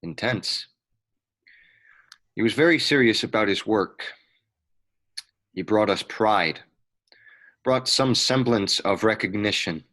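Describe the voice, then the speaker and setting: A man playing a solemn, measured reverend delivering a eulogy in an amateur play readthrough. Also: fairly low pitch, fairly bright tone. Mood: solemn, reverent. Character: solemn, smooth-talking reverend giving a eulogy.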